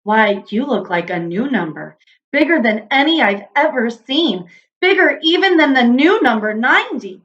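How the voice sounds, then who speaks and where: A woman's voice: high voice, animated, normal speed, a bit bright, dull audio. A woman voicing a jovial, easily flabbergasted king in a children's storybook, full of exclamations and proclamations. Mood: pleased, proclaiming.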